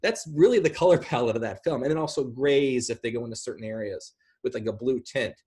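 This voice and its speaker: A man's voice: medium pitch, quick pace, husky. A middle-aged man talking casually and emphatically, explaining things on a video call. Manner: emphatic, animated. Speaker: speaker and co-host of online film panel. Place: room at home, indoors.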